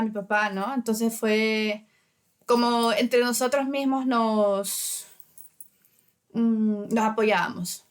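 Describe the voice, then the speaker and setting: A woman from Ecuador in her thirties, speaking hesitantly with many fillers as an interview guest. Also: fairly high pitch. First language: Spanish. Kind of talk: casual interview.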